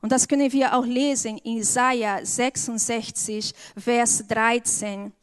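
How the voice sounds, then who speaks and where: Slow earnest woman with Brazilian accent. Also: fairly high pitch, steady tone, clear, resonant tone. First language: Portuguese. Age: adult with an eleven-year-old daughter. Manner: slow, earnest, careful phrasing. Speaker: church speaker. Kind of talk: personal testimony talk.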